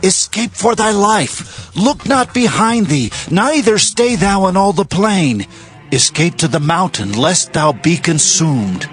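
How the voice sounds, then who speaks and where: Casting: urgent angelic messenger, fairly high voice, solemn authority, biblical audio drama over clear music. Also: male voice, big pitch swings, slow, fairly bright tone, exaggerated voice. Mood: urgent command. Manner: urgent, commanding, declamatory. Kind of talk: dramatized Bible acting in archaic English. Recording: prominent background music under voice.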